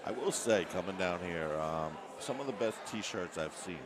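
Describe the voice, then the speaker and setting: Relaxed amateur commentator, a man with a deep voice, self-deprecating, live over a noisy crowd. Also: moderate pace. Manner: relaxed, self-deprecating, frequent restarts and fillers. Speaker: volunteer commentator at a tournament. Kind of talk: casual live-stream commentary. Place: busy tournament venue full of players. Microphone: on camera at the event. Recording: loud crowd chatter behind.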